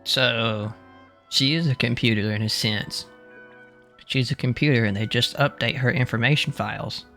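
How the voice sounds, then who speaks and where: A man's voice: medium pitch, normal speed, bright, forceful tone, soft background music. A young man giving casual, slangy commentary as he streams a video game. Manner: slangy, informal.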